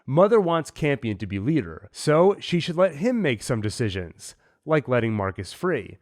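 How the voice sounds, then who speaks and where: A man hosting a TV recap, talking with a cold in a measured, analytical way. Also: medium pitch, sing-song, a little mellow. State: has a cold. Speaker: host. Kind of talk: TV episode recap and theories.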